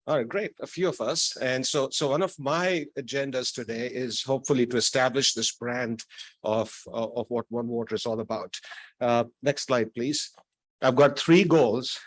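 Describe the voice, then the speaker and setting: A man's voice: medium pitch, moderate pace, dry recording. A man from Tanzania giving a conversational conference talk, with light jokes and frequent restarts. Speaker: conference speaker. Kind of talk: conference presentation. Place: conference hall.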